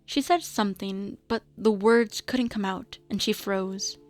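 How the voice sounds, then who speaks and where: A woman's voice: mid-range voice, fairly even intonation, unhurried, dry recording, soft background music. A woman reading scripted story narration as the narrator of a radio drama.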